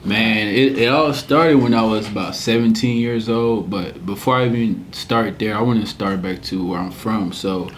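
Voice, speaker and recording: Podcast guest: man, 20s, from Cameroon, low voice, earnest and reflective. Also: normal speed, few pauses, a little hiss or hum. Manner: earnest, reflective storytelling with many "man"s and "you know"s. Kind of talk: casual interview chat.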